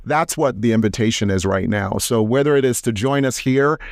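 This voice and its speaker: Measured man with frequent fillers. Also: medium pitch, dry recording, clean studio sound. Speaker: interview guest, company executive. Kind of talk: conversational interview answer.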